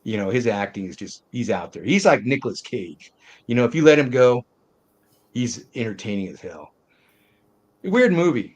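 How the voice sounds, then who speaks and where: A man's voice: mid-range voice, sing-song, normal speed, long pauses. An older man hosting a casual movie discussion, rambling and opinionated in colloquial speech. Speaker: host of a film review panel.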